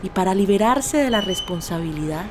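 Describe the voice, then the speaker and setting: A woman's voice: medium pitch, expressive, fairly quick, over music. A woman giving spoken documentary testimony in a scripted style. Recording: noticeable urban background noise.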